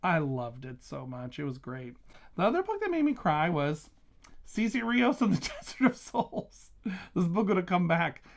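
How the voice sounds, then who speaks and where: A man's voice: fairly high pitch, sing-song, moderate pace, vocal fry. A man talking casually as a vlogging reviewer, gushing with emphatic, enthusiastic delivery. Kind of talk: casual chatty book review vlog.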